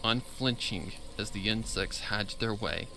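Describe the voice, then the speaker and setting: A man's voice: lowish voice, measured pace, creaky, crowd noise, low-quality recording. A man reading a horror fan fiction story aloud as dramatic scripted narration.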